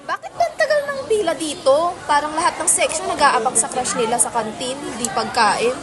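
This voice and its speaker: Snide, gossipy teen played by a young Filipino woman, high-pitched teasing, busy crowd behind her. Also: expressive, fairly quick. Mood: cheeky. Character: gossipy, snide teenage schoolgirl. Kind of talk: amateur school radio drama acting.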